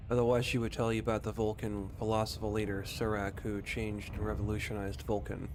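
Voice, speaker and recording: A man's voice: lowish voice, monotone, a little fast, over music. A man streaming a video game, giving casual commentary and short spoken voice commands.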